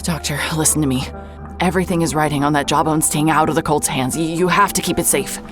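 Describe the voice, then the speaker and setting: An actress playing a nervous, urgent investigator who stammers and hesitates. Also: female voice, low voice, fairly even intonation, fast talker, music in the background, close to an everyday voice. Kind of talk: audio drama acting.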